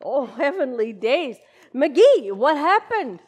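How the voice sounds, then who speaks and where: A woman's voice: sits high, big pitch swings, slow, a little mellow, full character voice. A woman playing a long-suffering, sensible wife in an old-time radio comedy, chiding in a dry, folksy way. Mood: weary, chiding.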